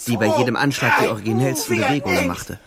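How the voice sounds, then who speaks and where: A man's voice: mid-range voice, quick pace, close to an everyday voice. An actor playing a hardened young soldier who narrates his war in the first person in a German radio drama. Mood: tense, gleeful. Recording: loud music behind the voice.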